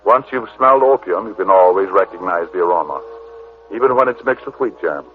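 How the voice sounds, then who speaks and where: A man's voice: low-pitched, animated, fairly quick, faint music behind. An actor playing a sardonic, wisecracking male private detective who also narrates in 1950s radio drama style. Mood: brisk narrating. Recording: narrow, tinny old-time radio recording.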